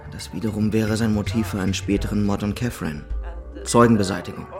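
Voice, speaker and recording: An actor playing a driven, stubborn police detective, insistent and emphatic in a radio drama. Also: male voice, on the low side, fairly even intonation, quick pace, faint music behind, natural voice. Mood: analytical. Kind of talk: German radio drama dialogue.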